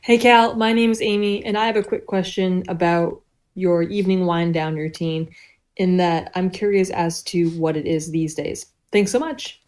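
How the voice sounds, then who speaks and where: Friendly woman caller, lowish voice. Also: fairly even intonation, normal speed, darkish tone. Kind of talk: recorded voice message.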